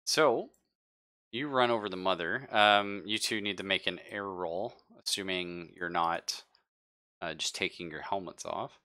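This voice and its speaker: A man running a tabletop roleplaying game, improvising narration in a halting, casual way and pausing to think mid-sentence. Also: low-pitched, sharp tone. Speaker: game master.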